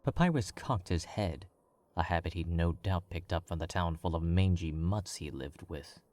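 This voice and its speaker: A man narrating a story in a calm, measured read. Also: deep voice.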